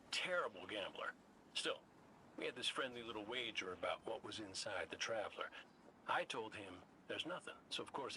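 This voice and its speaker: A roguish veteran gunslinger robot from a video game, wry and full of swagger. The voice is bright and forceful and swings freely in pitch, with faint noise behind. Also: male voice, poor audio. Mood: nostalgic. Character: cocky wisecracking veteran robot gunslinger scout, folksy and roguish.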